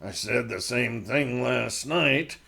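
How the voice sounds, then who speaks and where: A man voicing a stubborn frontier man who talks in a slow, low, grumbling drawl. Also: vocal fry, pushed and bright, slightly rough, exaggerated voice.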